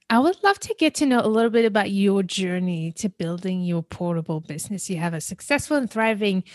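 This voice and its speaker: A woman's voice: medium pitch, dry recording. A woman hosting a podcast interview, upbeat and enthusiastic, introducing her guest. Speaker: podcast host.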